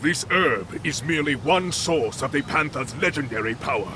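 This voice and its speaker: An actor playing a solemn, regal warrior king in a video game, speaking in a measured, formal manner. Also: medium pitch, lively intonation, background noise, natural voice.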